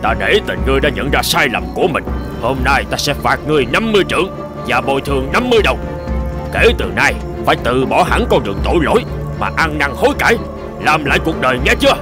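A man voicing a pompous, scheming middle-aged magistrate in an animated folk tale, scolding his underlings, with music behind. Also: mid-range voice, fast talker, natural voice. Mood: stern.

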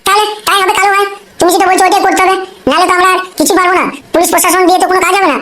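Female performer as distressed village mother-in-law, piercingly high, very fast, plaintive, comic cartoon. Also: fairly even intonation, noisy background. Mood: aggrieved. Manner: plaintive, wordy. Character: distressed, pleading poor mother-in-law begging a gangster for help. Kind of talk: amateur comic cartoon dialogue.

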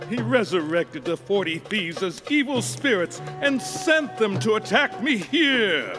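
A man's voice: fairly high pitch, animated, takes it slowly, music in the background. A man playing a pompous, theatrical old skeleton king in a video game, speaking in formal, courtly phrases. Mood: indignant, courtly. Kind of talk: video game cutscene acting.

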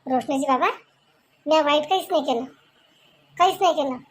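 A woman's voice: high-pitched, fairly quick, natural voice. A woman voicing a combative, scolding village wife and mother-in-law in a comedy cartoon, with a Varhadi Marathi accent and melodramatic, exclamatory delivery. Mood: defensive. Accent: Varhadi Marathi from Vidarbha.

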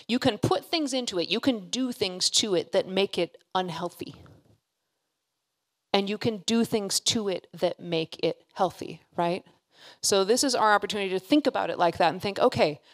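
A woman in her thirties giving an informal keynote speech on a handheld mic, earnest and emphatic, repeating phrases for emphasis. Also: mid-range voice. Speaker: keynote speaker. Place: hall with seated audience. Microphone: handheld microphone held close at a podium.

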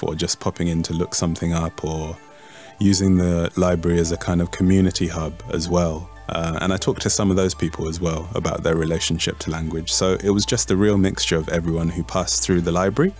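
A man's voice: sits low, a little mellow. A man, a poet, answering interview questions in a thoughtful way, with some restarts and fillers.

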